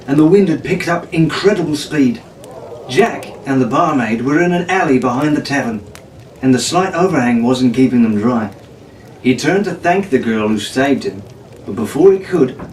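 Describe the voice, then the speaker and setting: A man's voice: on the high side, darkish tone, slight background noise. A man reading a pirate story aloud in a playful, theatrical, drawling way. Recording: echoey room from far off.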